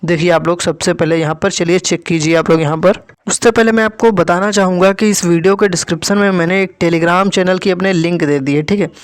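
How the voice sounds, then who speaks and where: High-pitched man explaining to students. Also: fairly even intonation, brisk, hardly any pauses, smooth. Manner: repetitive, instructive, with frequent check-in tags. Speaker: exam-prep YouTube presenter. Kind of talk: informal explanatory update to students.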